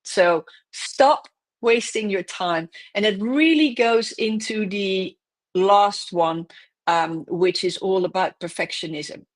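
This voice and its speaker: A woman's voice: medium pitch, slow. A woman giving direct, emphatic business advice in a solo talk, correcting herself now and then and losing her voice. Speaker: host, business coach.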